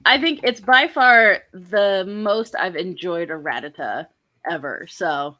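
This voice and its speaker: A woman's voice: fairly low pitch, takes it slowly, sharp tone. A young adult woman hosting a casual drawing stream, chatty and bubbly, sounding a little nasal from a cold. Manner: bubbly, chatty, lots of laughter. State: congested with a cold, a bit nasal. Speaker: host and artist.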